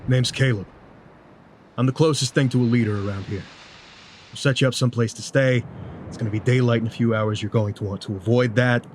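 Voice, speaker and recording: Man playing a calm, rough-edged rebel vampire leader, noisy backdrop. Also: mid-range voice, fairly quick, close to an everyday voice. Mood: calm, helpful. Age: 30s. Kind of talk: audio drama acting.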